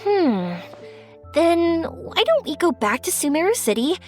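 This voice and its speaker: A woman's voice: extremely high pitch, big pitch swings, unhurried, a little mellow, heavily acted. A woman playing a cheerful, sincere, bubbly young woman in a video game, speaking expressively over music. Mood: curious, upbeat. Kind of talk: video game character acting.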